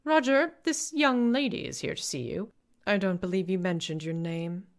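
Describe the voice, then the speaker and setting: A woman's voice: mid-range voice, expressive, moderate pace, natural voice. A woman playing a snobbish, condescending society wife in her forties in a noir radio drama. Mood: formal. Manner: prim, condescending, formal.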